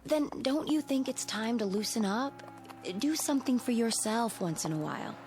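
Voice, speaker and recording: A woman's voice: a little high, big pitch swings, normal speed, darkish tone, faint music behind. An actress playing a breezy, pushy woman teacher nudging her friend in a radio drama, casual and wry in delivery. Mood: wry, coaxing.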